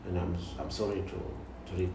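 A man in his forties from South Sudan speaks slowly, halting and pausing as he answers. His voice is low and gravelly. He sits back from the mic in an echoey room with clear background noise. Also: flat delivery, recorded from a distance. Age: 40s. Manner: slow, halting, with many pauses. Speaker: guest. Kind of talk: interview conversation.

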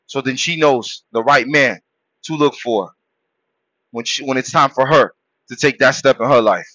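A man born in Haiti hosting a podcast, delivering a preachy motivational monologue that swings between loud shouted exhortations and low, quiet murmurs. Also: normal speed, dry recording, lo-fi sound. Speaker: podcast host.

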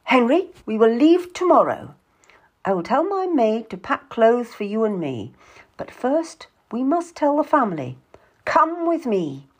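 A woman's voice: fairly high pitch, big pitch swings, a little slow, voice pushed up. A woman voicing a melodramatic, tearful young noblewoman who implores and schemes in an audiobook story. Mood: excited.

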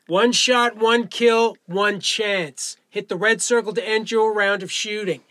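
An actor plays a male firearms range instructor with a bright, forceful voice in a very dry recording. He is brisk and commanding but encouraging, in an audio drama style. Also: high-pitched, steady tone, no echo. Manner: commanding, clipped instruction with coaxing pep talk.

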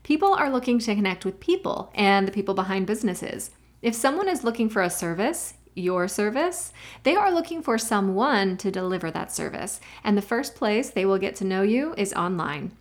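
A woman's voice: medium pitch, lively intonation, moderate pace. A woman hosting a marketing podcast, introducing her guest and chatting in an upbeat, friendly way. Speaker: podcast host. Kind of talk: scripted intro then casual interview chat.

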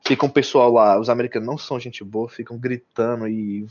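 A man's voice: medium pitch, steady tone, fairly quick, highs cut off, slight background noise. A Brazilian man casually recounting his trip, rambling with restarts and slang. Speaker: guest telling about living abroad. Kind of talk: casual chat.

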